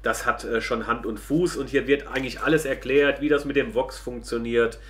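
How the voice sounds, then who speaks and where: A man's voice: medium pitch, steady tone, normal speed, a bit bright. Casual man reviewing a product. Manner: colloquial, chatty, addressing viewers directly. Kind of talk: casual product demonstration to viewers.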